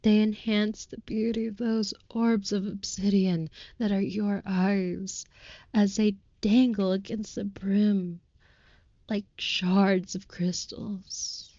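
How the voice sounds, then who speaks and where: Slow, soft vampire girlfriend, voiced by a woman. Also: mid-range voice, level tone, no echo, lo-fi sound. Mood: tender, adoring. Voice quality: soft, breathy with whispered moments. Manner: florid, reverent, archaic formal wording. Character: devoted, tender female vampire girlfriend, ornately romantic. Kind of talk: intimate audio roleplay with whispery delivery.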